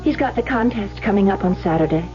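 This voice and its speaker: An actress playing a nervous, hesitant young wife with secrets in an old-time radio drama. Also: female voice, mid-range voice, animated, fast, music in the background, natural voice. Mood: torn, uneasy. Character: lovely young wife, anxious, torn, secretive. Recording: muffled old radio recording.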